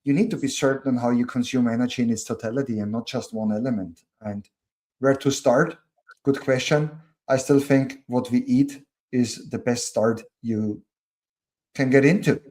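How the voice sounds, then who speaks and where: A man's voice: medium pitch, steady tone, moderate pace, darkish tone. German-accented man, earnest guest. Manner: earnest, thinks aloud with repeated starts. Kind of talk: casual conversation.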